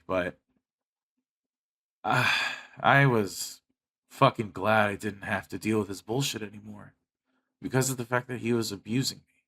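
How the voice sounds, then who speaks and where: A young man with an American accent and a fairly low voice talks straight to his online audience. He speaks in a blunt, confessional way, leaning hard on his grievances and swearing freely. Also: fairly bright tone. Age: young adult. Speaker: YouTuber. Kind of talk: candid personal monologue to viewers.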